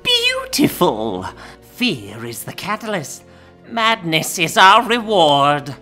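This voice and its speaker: A gloating mad supervillain, sharp and piercing. He declaims theatrically in slow, drawn-out phrases that swoop enormously, as in a superhero radio play. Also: high voice, huge pitch swings, soft background music, full character voice. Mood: gloating, triumphant. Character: gloating theatrical madman supervillain leading an attack. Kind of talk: fan-made superhero audio drama acting.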